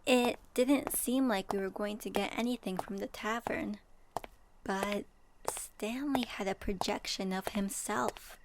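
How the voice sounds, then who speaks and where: A woman acts as a young female adventurer from a fantasy game in a soft ASMR-style roleplay. She speaks slowly and reflectively in a mid-pitched voice, gentle and wistful, as if confiding in a companion. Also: noisy background, natural voice. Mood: curious. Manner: soft, reflective, addresses listener directly.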